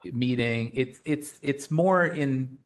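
A man chairing a public committee meeting, speaking in a measured way with hesitant restarts. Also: medium pitch.

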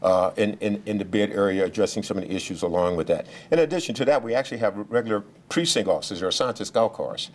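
Deep-voiced police official at a briefing. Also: male voice, slight background noise. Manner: halting, many fillers and restarts; speaks loudly without a mic. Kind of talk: press briefing remarks.

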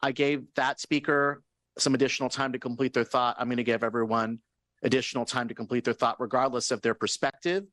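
A man's voice: medium pitch, level tone, fairly quick, fairly bright tone, no room sound. A man presiding over a city council meeting, running public comment with firm, procedural phrasing and repeated polite cut-offs. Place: council chamber.

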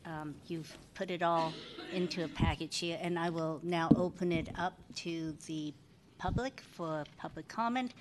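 A woman's voice: low voice, background noise. A woman chairing a public board meeting, speaking hesitantly with many fillers.

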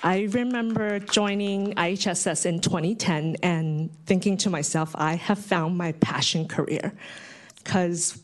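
A social worker whose first language is Cantonese, speaking into a microphone at a commission meeting and giving a grateful, heartfelt award acceptance speech. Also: female voice, on the low side, a little slow.

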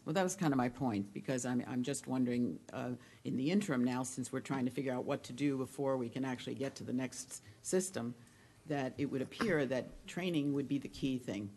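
A woman commissioner questioning an official at a public meeting, feeling her way through halting, tentative phrases. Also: very deep voice, darkish tone, a little hiss or hum. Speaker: county commissioner.

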